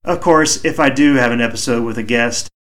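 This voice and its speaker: A man hosting a solo hobby podcast, speaking in a measured, reflective way with a soothing voice, recorded on a home microphone. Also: mid-range voice. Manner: measured, reflective, deliberately slow. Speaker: podcast host.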